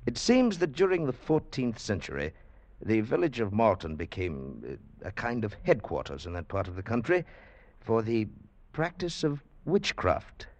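An actor playing an assured, rational doctor in a 1940s radio drama, deliberate and dismissive. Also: male voice, lowish voice, huge pitch swings. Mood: grave, explanatory. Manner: authoritative, deliberate, explanatory.

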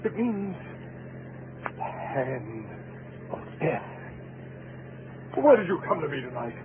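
An actor playing a nervous, guilt-ridden husband, agitated and faltering, in melodramatic 1940s radio style. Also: male voice, fairly high pitch, big pitch swings, slow. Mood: agitated, desperate. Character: nervous, guilt-ridden husband who killed his wife. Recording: noisy, tinny old-time radio recording.

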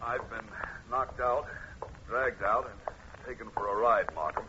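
An actor playing a weary but composed private detective in a 1950s radio drama. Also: male voice, mid-range voice, fairly even intonation, a little slow, pushed and bright. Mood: weary, dry. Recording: dull, noisy old radio recording.

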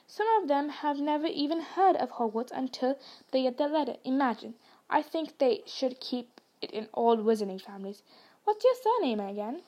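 Snobbish young wizard boy, voiced by a woman; sneering drawl, high. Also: a little mellow.

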